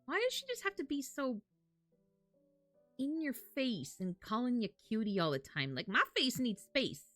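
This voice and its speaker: A young woman streamer giving casual, excitable live reactions, with gasps and easy swearing. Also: on the high side, moderate pace, no room sound. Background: music and program audio.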